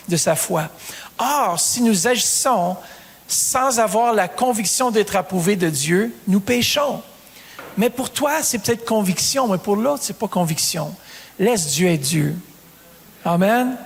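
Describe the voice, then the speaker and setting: A man's voice: high-pitched, vocal fry, husky, long reverb tail. A man, preaching a sermon as pastor, calling out to the congregation with rising emphasis. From Canada.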